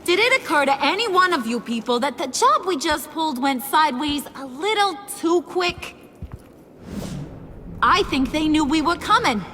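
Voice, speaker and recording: Shrill, theatrical gangster villainess in reverberant hall. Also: female voice, a bit bright. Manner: taunting, theatrical, slangy. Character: theatrical, menacing female villain gang boss, gleefully cruel. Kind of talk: animated game villain acting. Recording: long reverb, background noise.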